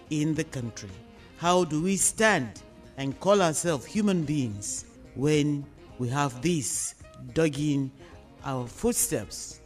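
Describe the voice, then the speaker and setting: A woman with a Nigerian accent hosting a radio discussion programme, phrasing her questions and points deliberately and emphatically. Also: low-pitched, darkish tone.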